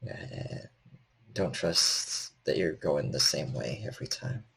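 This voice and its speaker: A man's voice: extremely low pitch, slow pace, creaky, a bit bright, slightly rough, voice pushed down, exaggerated voice. A man playing a nervous, weary repairman in a tabletop game, cautious and helpful, speaking in hesitant stammers.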